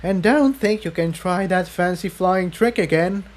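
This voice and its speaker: A man's voice: high voice, unhurried, pitched above the performer's own voice. A man voicing a smug, menacing pony henchman in an adventure story, talking in a mocking way. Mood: smug taunting.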